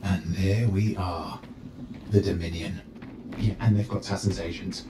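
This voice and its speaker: Game sidekick: easygoing, understated scout, lowish voice, roomy sound. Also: male voice, steady tone, measured pace, background noise. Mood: wary, wry.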